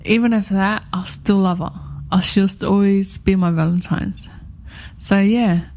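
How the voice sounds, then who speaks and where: A woman's voice: mid-range voice, steady tone, measured pace, darkish tone. A woman in her thirties co-hosting a radio chat show, talking in a rambling, heartfelt way and repeating herself often. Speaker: radio co-host.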